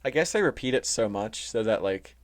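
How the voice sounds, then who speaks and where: A man's voice: lowish voice, expressive, a little fast. A young man co-hosting a podcast in casual chat, with a laid-back, slangy way of talking and some swearing. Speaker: podcast co-host.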